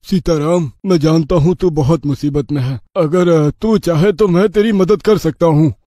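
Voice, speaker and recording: A man acts a sly landlord's servant in a village cartoon, coaxing and consoling with fake sympathy. His voice is soft-edged, very mellow and a bit high, in a dry recording. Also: normal speed, dry recording, close to an everyday voice. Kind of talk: Hindi cartoon moral story dialogue.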